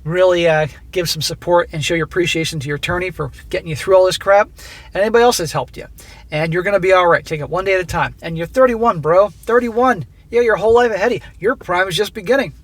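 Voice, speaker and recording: A man hosting a channel who reads a listener's story aloud and comments on it in a blunt, profane, coaching way, his voice going hoarse. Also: sits high, quick pace, fairly bright tone, dry recording. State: losing his voice. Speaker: host reading listener stories.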